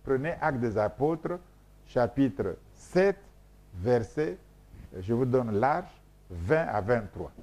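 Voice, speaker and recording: A man from Congo whose first language is Mboshi speaks as a scholar being interviewed. His voice is dark and mellow, at a middle pitch. He lectures with emphasis and drives each point home through repetition. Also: very animated, slow pace. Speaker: scholar guest. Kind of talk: interview answers.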